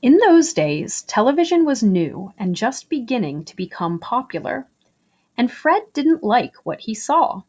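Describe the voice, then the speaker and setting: A woman reading a children's picture book aloud in an expressive storytelling style, with deliberate, emphatic phrasing. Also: on the high side.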